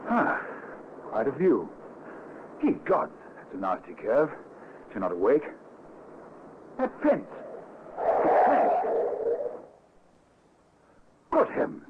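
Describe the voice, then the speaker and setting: An actor playing a flustered English motorist in an old radio drama. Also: male voice, mid-range voice, wildly swooping pitch, slow, long pauses. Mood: musing, uneasy. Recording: dull, noisy vintage radio recording.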